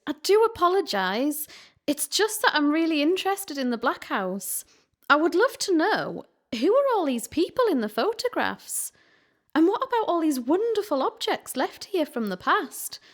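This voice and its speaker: A curious young investigator, played by a woman in a radio play, gentle and courteous. Her voice is high and lively, with wide swings in pitch. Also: a little slow. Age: young adult. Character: polite young female paranormal investigator.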